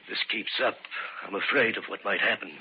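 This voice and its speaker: An actor playing a worried, distraught doctor in an old-time radio drama, earnest and agitated. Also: male voice, fairly low pitch, fairly even intonation, brisk, close to an everyday voice. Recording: muffled, faintly noisy vintage radio recording.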